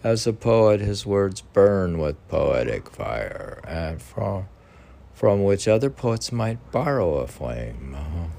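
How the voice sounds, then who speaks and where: A man hosting an informal poetry podcast, reading aloud haltingly and stumbling over words, with muttered asides. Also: low-pitched, sing-song, gravelly, warm tone.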